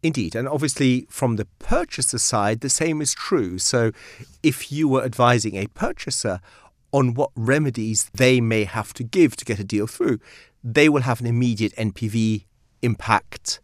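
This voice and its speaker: A Belgian-born man whose first language is Dutch, speaking as a guest lawyer in a studio interview and explaining things in a measured, emphatic way. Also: mid-range voice, no echo. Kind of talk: articulate interview answers.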